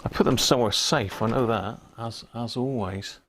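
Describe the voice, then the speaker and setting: A man's voice: mid-range voice, normal speed, slight background noise. A British man hosting a casual livestream, thinking aloud in a rambling, self-mocking mutter. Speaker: livestream host showing radio gear.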